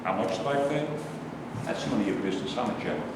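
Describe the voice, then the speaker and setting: In a very echoey hall, far from the mic, an actor plays a bitter male loser in a stage play. He rants about his lot in a defensive, rueful way, with lively pitch swings. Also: fast, close to an everyday voice. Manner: ranting, defensive, rueful monologue delivery. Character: bitter, self-pitying male loser recounting his failed love life. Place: live staged reading in a reverberant room. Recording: very echoey hall, distant mic, faint noise.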